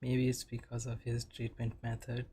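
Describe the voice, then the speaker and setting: A woman's voice: extremely low pitch, completely flat delivery, normal speed, dark tone, a little hiss or hum. A woman giving short, offhand casual reactions as a game streamer.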